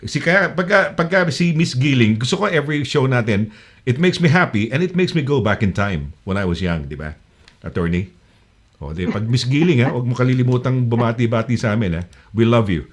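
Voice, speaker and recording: A man's voice: mid-range voice, expressive, brisk. A middle-aged man from the Philippines with a Filipino accent, hosting a casual call-in show in a rambling, chatty way full of fillers and restarts. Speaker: talk show host. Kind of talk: casual live call-in chat.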